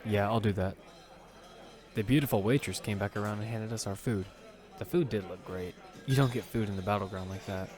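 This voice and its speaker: A man playing a gentle, sentimental father and narrating his story in an earnest, plain-spoken way for an audio drama. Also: fairly low pitch, brisk, natural voice. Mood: casual, fond. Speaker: narrator and lead character. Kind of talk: first-person narration in amateur audio fiction.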